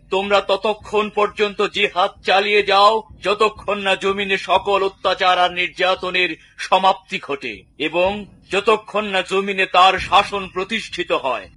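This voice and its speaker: High, forceful male preacher, acted by a man. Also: full character voice. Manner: solemn, declamatory. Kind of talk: dubbed cartoon exhortation.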